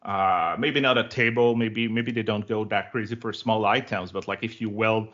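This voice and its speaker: A man's voice: lowish voice, fairly even intonation, normal speed, a bit bright. A man in his forties from Quebec, a native French speaker with a Québécois French accent, chatting as an interview guest in a friendly, talkative way full of fillers. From Quebec, Canada. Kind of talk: casual interview chat. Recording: lo-fi online call audio.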